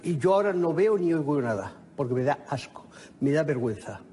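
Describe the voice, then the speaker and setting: A man with a mellow, slightly high voice rants indignantly and haltingly. He is recorded in a long, echoing space with faint noise. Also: big pitch swings. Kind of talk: unscripted rant. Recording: echoey hall with faint noise.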